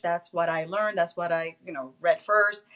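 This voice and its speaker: A woman's voice: lowish voice, fairly even intonation, moderate pace, a bit bright, faint music behind. A woman whose first language is Spanish, with a Spanish accent, talking casually as an interview guest, laughing often and restarting phrases as she searches for English words.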